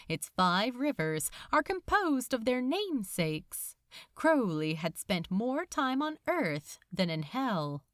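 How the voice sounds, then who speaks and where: Woman narrating fiction at a slow, dramatic pace. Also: on the high side, no echo, studio quality. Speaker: audiobook narrator.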